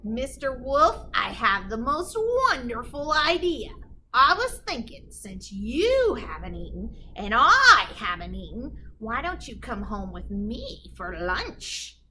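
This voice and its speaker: A woman's voice: on the high side, sing-song, slow pace, slight background noise, heavily acted. A woman voicing a bossy, hot-tempered, hungry storybook witch with a demanding, screechy delivery. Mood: scheming. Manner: shouty, insulting, demanding.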